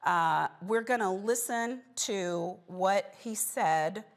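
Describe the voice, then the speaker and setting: A woman's voice: mid-range voice, slow. A woman hosting a talk show and moderating a panel discussion.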